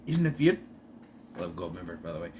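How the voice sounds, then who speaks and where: A man talks through a sitcom episode in a loose, rambling way, stopping to correct himself and tossing in opinionated asides. His pitch jumps around a lot as he goes, and now and then he slips into a quick impression of a character. A faint hiss sits under the recording. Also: very animated, dark tone. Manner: briefly mimics characters while retelling. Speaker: show recap vlogger. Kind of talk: casual rambling episode recap.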